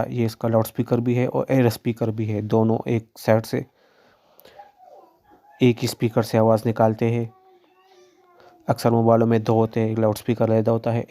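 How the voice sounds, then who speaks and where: A man with a mid-pitched, mellow voice narrates a how-to tutorial. His intonation stays very even as he gives plain instructions one step at a time. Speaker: phone repair tutorial presenter.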